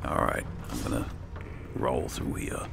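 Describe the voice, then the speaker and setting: A man doing casual gameplay commentary, exclaiming and talking to his companions as he plays. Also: measured pace. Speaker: gaming video host. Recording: loud game noise behind the voice. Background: game combat and ambience sounds.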